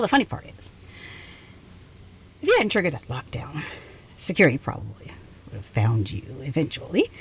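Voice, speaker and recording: A woman playing an exhausted, prickly scientist with a soft side in an intimate ASMR roleplay, halting and teasing, often slipping into a whisper. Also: mid-range voice, slight background noise. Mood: teasing, guarded. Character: exhausted, prickly, passionate female scientist, secretly softening toward intruder. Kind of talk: ASMR roleplay, intimate one-sided dialogue.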